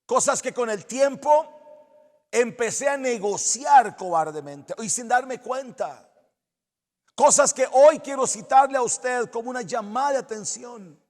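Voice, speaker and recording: A man's voice: very high-pitched, unhurried, a little mellow, big-room sound. A male pastor preaching a sermon in earnest, exhorting tones, repeating phrases for emphasis.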